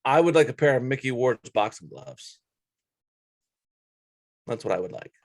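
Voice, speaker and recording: A man's voice: medium pitch, lively intonation, normal speed, no room sound, clean studio sound. A man co-hosting a sports talk show, chatting casually in a blunt, informal, opinionated way.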